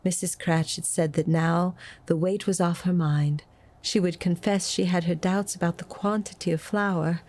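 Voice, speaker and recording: A woman reading a classic story aloud as an audiobook, with an expressive, dramatic delivery. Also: on the low side, moderate pace. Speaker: audiobook reader. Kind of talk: expressive scripted storybook narration.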